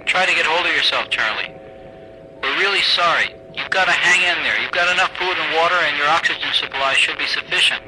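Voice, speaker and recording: An actor playing a reassuring, apologetic male mission controller in a radio drama, speaking in a measured, placating way. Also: fairly high pitch, pushed and bright, a little hiss or hum, rough recording.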